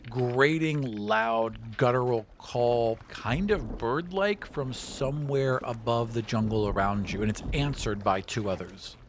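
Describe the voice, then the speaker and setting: A man running a tabletop role-playing game as game master, describing the scene in a relaxed way with casual asides. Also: medium pitch, measured pace, few pauses, background noise.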